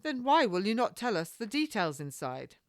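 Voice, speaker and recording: A woman's voice: medium pitch, big pitch swings, normal speed, dry recording, clean studio sound, voice pushed up. Woman acting an anxious, demanding noble lady. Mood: anxious, questioning. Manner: urgent, questioning, formal period speech.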